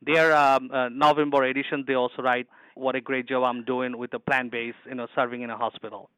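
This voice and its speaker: A man's voice: medium pitch, flat delivery, fairly quick, a bit bright, smooth, dry recording, rough recording. A man from Bangladesh with a Bangladeshi accent, a hospital chef telling eager, rambling stories in an interview. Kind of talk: interview answers.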